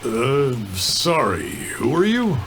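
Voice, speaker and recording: A man's voice: medium pitch, big pitch swings, slow, noisy background. A man voicing a wary, easily offended townsman in a video game, curt and indignant. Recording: game audio with music underneath.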